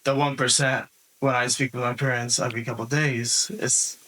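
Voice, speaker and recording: A man's voice: medium pitch, brisk, rough recording. Male guest, casual talker, fillers throughout, mid-range voice. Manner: relaxed, filler-heavy, lots of "like" and "um". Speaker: podcast guest. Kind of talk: casual interview answers.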